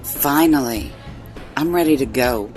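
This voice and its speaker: A woman acts out a young female college student out partying in an audio horror drama. Her voice sits low and she takes her words slowly. Music plays clearly underneath. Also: darkish tone. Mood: impatient.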